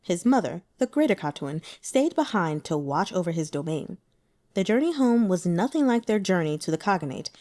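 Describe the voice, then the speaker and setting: Woman, audiobook narrator, widely varied intonation, soft-edged mellow tone, scripted storytelling. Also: fairly low pitch, very animated, normal speed. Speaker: audiobook reader.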